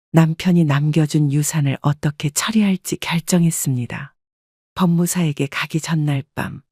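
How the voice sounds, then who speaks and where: A woman narrating a scripted first-person story in a calm, reflective way. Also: sits low, slightly rough, dry recording, clean studio sound.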